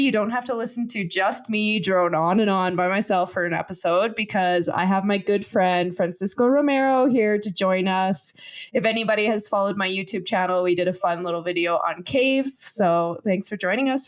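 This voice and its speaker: A woman's voice: medium pitch, normal speed. A young adult woman hosting a science podcast in casual chat, joking and explaining plainly. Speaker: podcast host.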